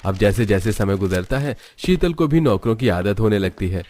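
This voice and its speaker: A man's voice: lowish voice, animated, normal speed, a little mellow. A man reading scripted storybook narration for a cartoon tale.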